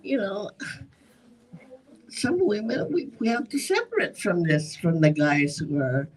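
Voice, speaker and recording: A woman's voice: mid-range voice, unhurried, darkish tone, poor audio. Emphatic woman moderator.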